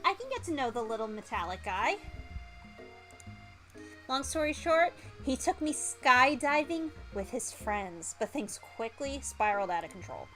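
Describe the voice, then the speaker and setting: A woman voicing an excitable, chatty and dramatic young female best friend in a visual novel game. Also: on the high side, unhurried, faint music behind, full character voice. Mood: breathless, gossipy.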